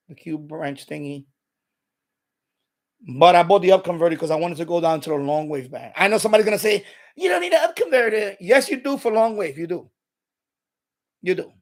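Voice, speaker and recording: A man with a fairly high voice and a noticeable creak chats off the cuff as a livestream host. He is opinionated and emphatic, often saying the same phrase over again to press his point. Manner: repeats himself for emphasis. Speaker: live stream host. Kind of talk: unscripted radio hobby livestream chat.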